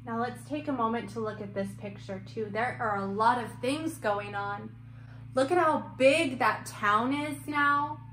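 A young woman reading and discussing a picture book for small children, in an expressive, questioning teacher's manner. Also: on the high side, unhurried, a little hiss or hum. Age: young adult. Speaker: children's story-time teacher. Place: room at home, indoors. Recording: roomy echo, music underneath.

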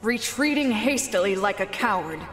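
A woman's voice: medium pitch, animated, normal speed, natural voice. A woman playing a disdainful, imperious spider deity with formal speech in a visual novel. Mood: scornful. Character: haughty, disdainful spider goddess. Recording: long reverb, music behind.

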